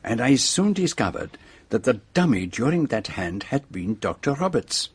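An actor playing a shrewd, self-assured detective in a radio drama, with a French-speaking accent and precise, theatrical phrasing. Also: male voice, mid-range voice, big pitch swings, moderate pace, slightly rough, dry recording. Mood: triumphant explaining.

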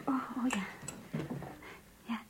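A woman playing an earnest, lovestruck young girl in a radio play, emotional and yearning, with a breathy, husky voice. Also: takes it slowly, background noise, lightly acted. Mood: shy, polite. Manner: emotional, yearning, polite and shy. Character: lovestruck, earnest young schoolgirl graduate reading her diary. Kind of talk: Dutch radio play acting, diary narration and dialogue.

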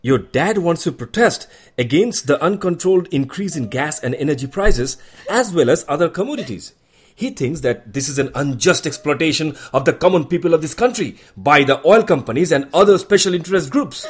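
An actor playing a polite, deferential family friend who explains things patiently in an audio play. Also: male voice, fairly high pitch, expressive, extremely slow, husky, exaggerated voice.

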